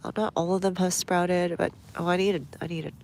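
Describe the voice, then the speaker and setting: A low-voiced woman with a stuffy nose, chatting casually to viewers while she works in her outdoor garden. She reads comments aloud and talks through each step as she goes. Faint outdoor ambience sits under her phone-camera audio. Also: fairly even intonation, fairly quick, gravelly, darkish tone, a little hiss or hum. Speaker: gardening livestream host.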